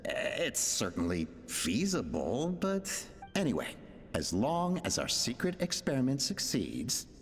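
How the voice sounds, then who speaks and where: A man's voice: medium pitch, wildly swooping pitch, unhurried. An actor voicing a grave, driven male researcher dictating experiment logs in a video game, in a reverberant space over music. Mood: determined. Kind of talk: dramatic game log narration. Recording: long hall reverb, faint music.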